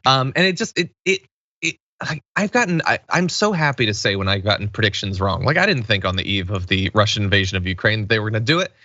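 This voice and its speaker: A man's voice: fairly low pitch, sing-song, quick pace, pushed and bright, no room sound, lo-fi sound. A man hosting a political talk show, giving informal, sardonic commentary in a conversational way.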